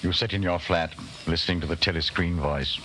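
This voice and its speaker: A man narrating a 1940s radio drama in a grave, measured, urgent manner. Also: low voice, fairly even intonation, bright, forceful tone. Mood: grave, ominous. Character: grave male narrator addressing hero as "you". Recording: noisy 1940s radio broadcast recording.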